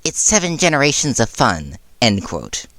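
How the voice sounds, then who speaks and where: A man's voice: mid-range voice, huge pitch swings, moderate pace, sharp tone, dry recording, pitched above the performer's own voice, full character voice. A man voicing an amused, scheming animal school principal in an audiobook, with formal, delighted politeness. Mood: eager, inquisitive.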